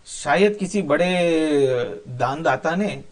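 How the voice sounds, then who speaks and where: A man's voice: fairly high pitch, extremely slow. A man voicing a poor, plain-spoken sculptor in a moral story, puzzled and questioning in a colloquial way. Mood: wondering.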